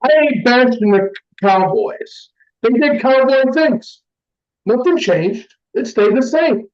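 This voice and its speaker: A man co-hosting a casual sports podcast, brash and excitable, swearing freely, heard over muffled remote audio with some echo. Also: extremely high pitch, slow. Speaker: podcast co-host.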